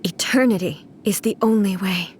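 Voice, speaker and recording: A woman playing a stern, sorrowful thunder god ruler in a video game, speaking in a grave, measured, formal way. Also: mid-range voice, darkish tone, a little hiss or hum, close to an everyday voice. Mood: solemn, sorrowful. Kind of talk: dramatic video game voice acting. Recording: game music behind the voice. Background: music.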